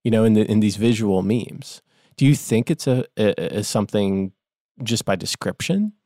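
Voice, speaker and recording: A man co-hosting a comedy podcast, musing in a halting, deadpan way. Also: fairly low pitch, no echo, clean studio sound. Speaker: podcast co-host.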